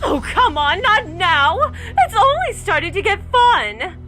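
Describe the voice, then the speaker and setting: A man's voice: extremely high pitch, big pitch swings, slow, pitched above the performer's own voice, exaggerated voice. A man voicing a sadistic grown mare schoolteacher, screaming in frustration with laughing cries. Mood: frustrated glee. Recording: distant voice over loud music.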